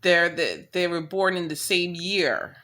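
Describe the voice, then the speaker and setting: A woman's voice: fairly low pitch, unhurried, bright, forceful tone. A woman chatting casually as co-host, eager and agreeable. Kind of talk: casual chat.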